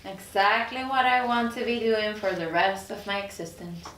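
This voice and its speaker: A woman's voice: mid-range voice, moderate pace, a bit bright, lightly acted. A woman playing a proud, sarcastic career woman who is easily offended, in a drama script table read. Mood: sour, resigned. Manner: sarcastic, incredulous. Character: proud, indignant career woman newly arrived in hell. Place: echoey room, recorded from off. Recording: roomy, distant mic, faint noise.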